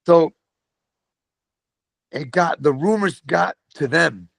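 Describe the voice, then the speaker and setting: A middle-aged man from Brooklyn with a New York accent, telling profane, animated stories as a guest on a podcast. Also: on the high side, slow, vocal fry, dry recording. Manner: profane, animated storytelling with voiced quotes. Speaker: guest, metal vocalist. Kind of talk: casual storytelling.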